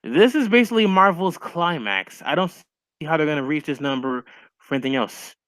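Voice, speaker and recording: Chatty higher-voiced young man. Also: expressive, fairly quick, a bit bright, dry recording. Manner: chatty, opinionated, fillers of "like". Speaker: stream co-host. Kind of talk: casual chat over a call.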